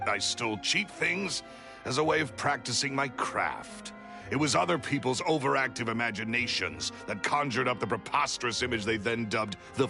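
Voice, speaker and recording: An actor playing a proud, cynical, cornered criminal in a video game, unrepentant, with a bitter, eloquent, theatrical delivery. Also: male voice, medium pitch, vocal fry. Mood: scornful, boastful. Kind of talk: dramatic English video game voice acting.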